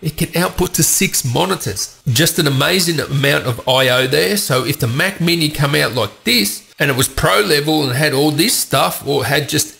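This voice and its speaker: Congested, gravelly male tech host. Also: a little high, expressive, moderate pace, hardly any pauses, pushed and bright. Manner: chatty, opinionated, rambling asides. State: has a cold, congested voice. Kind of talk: casual tech news commentary.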